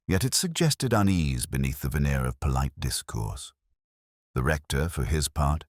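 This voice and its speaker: A man reading a first-person detective story in a measured, formal, literary manner, as scripted narration. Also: a bass voice, dry recording, studio quality. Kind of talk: scripted audiobook-style mystery narration.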